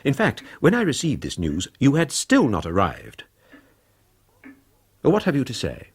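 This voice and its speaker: Stern, husky English Victorian father. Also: mid-range voice, huge pitch swings, unhurried, exaggerated voice. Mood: stern, reproving. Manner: formal, reproving, imperious. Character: stern, pompous Victorian father, master of the house. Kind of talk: 1990s British radio drama acting.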